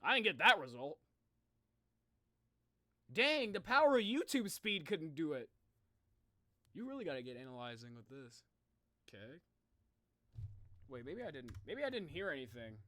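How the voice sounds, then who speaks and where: Animated young man with a bright, high-pitched voice. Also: normal speed, pauses often, dry recording, clean studio sound. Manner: excitable, slangy, thinks aloud. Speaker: streamer reacting to game videos. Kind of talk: live reaction stream, casual chat.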